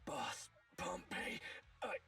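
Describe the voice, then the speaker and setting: An actor playing a cowering, guilty underling of a gang boss in a video game, stammering and pleading, a little distant from the mic. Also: male voice, slow pace, pushed and bright, faint music behind. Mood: cowering, remorseful.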